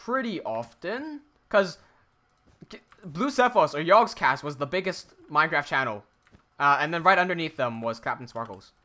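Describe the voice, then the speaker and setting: Bright, high, laid-back young man. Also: expressive, moderate pace. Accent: American-sounding Filipino. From Philippines. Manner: laid-back, rambling, swears, laughs often. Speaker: streamer and builder. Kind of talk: casual livestream chat while building.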